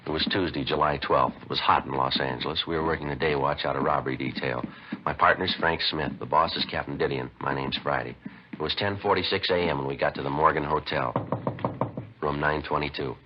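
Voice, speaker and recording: Deep, clipped, deadpan police detective. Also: male voice, fairly quick, soft background music. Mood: businesslike. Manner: terse, deadpan, polite. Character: calm, businesslike male police detective sergeant. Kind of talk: 1950s radio drama acting, clipped narration. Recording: dull, noisy 1950s radio recording.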